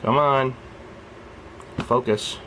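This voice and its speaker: A young man with a very low voice, just out of bed, rambling softly in a casual vlog as he films his snake at home. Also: steady tone, very slow, background noise. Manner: rambling, soft-spoken, keeps his voice down. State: just woke up. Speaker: reptile keeper filming his snake. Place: at home beside a reptile rack.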